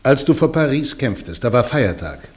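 A church interrogator presses on with question after question, pointed and relentless. A man plays him in an old radio drama. His delivery stays measured and formal. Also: medium pitch, very animated, close to an everyday voice. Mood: probing. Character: male church interrogator, probing and insistent. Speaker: trial judge. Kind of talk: German radio play acting.

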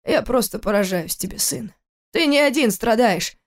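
A man's voice: very high-pitched, expressive, normal speed. A woman voicing a stern, angry older father who scolds his grown son reproachfully in an audiobook. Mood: grieving, reproachful. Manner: forceful, reproachful, rhetorical questions.

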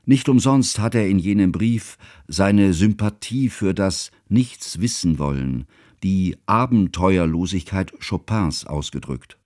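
Anxious scholarly guy narrating a radio drama, low voice, very formal, dry sound. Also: expressive, a little slow, a little mellow, dry recording. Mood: reflective, measured. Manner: formal, scholarly, ornate phrasing. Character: bookish, anxious man narrating his friend's life story.

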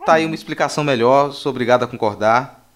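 Brief: Brazilian male host, medium pitch, informal and jokey, slipping into deadpan asides. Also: fast. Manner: joking, mock-serious asides. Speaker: podcast host. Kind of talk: casual, playful podcast presentation.

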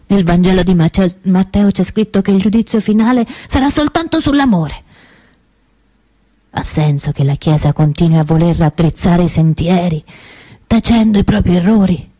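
Woman as low, husky impassioned preacher. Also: normal speed, dark tone, dry recording, close to an everyday voice. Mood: impassioned, reproachful. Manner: solemn, accusatory, rhetorical. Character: impassioned reformist preacher celebrating mass, accusing the Church. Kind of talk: theatrical sermon monologue.

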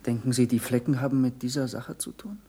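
A man's voice: medium pitch, fairly even intonation, brisk, mellow, close to an everyday voice. Male actor as a keen young researcher for radio theatre, mid-range voice, earnest and insistent. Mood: eager, pressing. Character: eager, earnest young scientist, Galileo's devoted pupil. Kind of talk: German radio play acting, 1960s.

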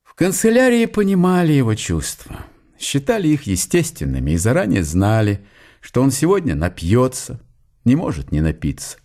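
A man narrating a literary radio drama with deliberate, dramatic phrasing. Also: mid-range voice, wildly swooping pitch, darkish tone. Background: music.

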